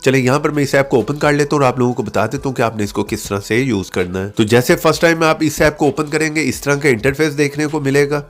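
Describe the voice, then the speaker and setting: A man's voice: mid-range voice, fast talker, hardly any pauses. A man hosting a tech tips video, explaining things step by step in an instructional way with frequent short check-in tags. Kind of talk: app tutorial narration.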